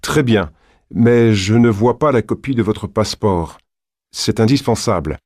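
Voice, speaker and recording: A man's voice: fairly low pitch, animated, unhurried, a little mellow, no echo, studio quality. A man playing a businesslike registration clerk in a scripted exam dialogue. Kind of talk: acted language-exam dialogue.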